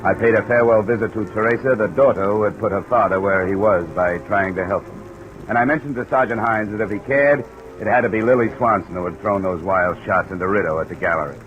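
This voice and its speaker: An actor playing a world-weary, wry insurance investigator in an old radio detective drama, terse and hard-boiled. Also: male voice, fairly low pitch, fast talker, natural voice. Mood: wry, matter-of-fact. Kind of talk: 1950s radio detective acting and narration. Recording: dull, hissy old radio broadcast.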